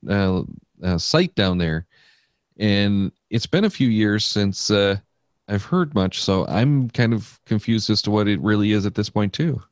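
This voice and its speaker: A man's voice: lowish voice, dry recording, clean studio sound. A man hosting a podcast in casual chat, talking loosely with many fillers and restarts. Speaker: podcast host.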